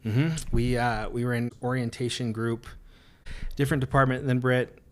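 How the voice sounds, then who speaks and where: A man talking as a guest on a podcast in a relaxed way, with lots of "uh" and "um". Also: medium pitch, normal speed. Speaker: nurse guest. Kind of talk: casual interview talk.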